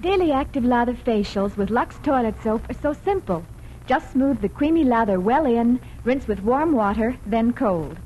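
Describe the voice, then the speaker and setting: A woman's voice: fairly high pitch, expressive, normal speed, a little mellow, low-quality recording. A woman announcer reading scripted 1940s radio advertising in an instructional manner. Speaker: commercial announcer. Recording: old radio recording, faint noise.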